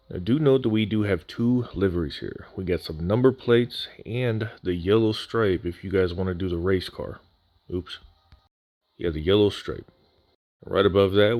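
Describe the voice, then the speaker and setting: Man, very low voice, dead dry recording, casual hobby voice-over, dry jokes, slightly worn voice. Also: darkish tone, dry recording. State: recovering from near voice loss. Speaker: scale model builder. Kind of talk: voice-over unboxing walkthrough.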